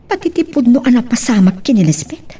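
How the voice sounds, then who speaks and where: A woman's voice: fairly high pitch, very animated, fairly quick. A woman playing a troubled schemer in an Ilocano radio drama, musing aloud in a brooding monologue.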